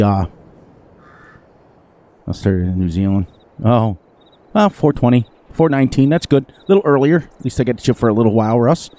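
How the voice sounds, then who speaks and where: A man streaming a farming game live, chatting casually with viewers, his voice a little hoarse. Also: medium pitch, mellow. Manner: rambling, playful, sing-song repetitions. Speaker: gaming livestreamer. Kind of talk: casual live gameplay commentary.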